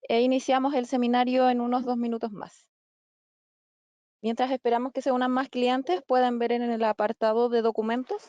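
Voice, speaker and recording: A woman's voice: on the high side, mellow, no echo. Polite woman hosting a webinar. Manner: polite and welcoming, with brief fillers. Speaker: webinar host. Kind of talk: formal webinar introduction. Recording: dry, muffled lo-fi webinar audio.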